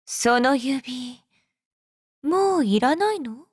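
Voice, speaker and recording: Casting: haughty game femme fatale, young warrior, high-pitched, unhurried, teasing and possessive. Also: female voice, lively intonation, husky, no echo, studio quality, heavily acted. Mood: mocking, threatening. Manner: languid, teasing, coolly condescending. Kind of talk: Japanese game character voice lines.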